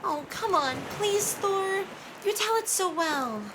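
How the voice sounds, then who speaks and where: A woman plays a young mermaid with a high voice. She is sweet, excitable and playful in a scripted storybook show, gushing eagerly. Clear ambient noise sits behind her. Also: takes it slowly, full character voice. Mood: pleading, eager.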